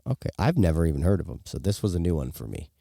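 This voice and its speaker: A man's voice: low-pitched, big pitch swings, very fast, creaky, mellow, no room sound, studio quality. A middle-aged man co-hosting a podcast with casual, joking, colloquial reactions. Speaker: podcast co-host. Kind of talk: casual banter.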